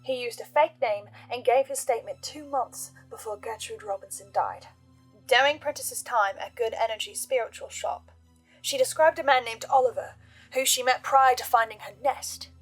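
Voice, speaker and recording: A woman hosting a scripted horror analysis, speaking as an investigator in a measured, deliberate way with dramatic pauses. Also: fairly high pitch, slightly rough, poor audio. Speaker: host of a fan theory channel. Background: music.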